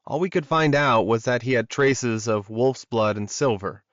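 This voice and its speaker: A man's voice: medium pitch, normal speed, fairly bright tone, no echo, highs cut off, close to an everyday voice. A man voicing a protective, earnest alpha werewolf in an audiobook romance. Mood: serious.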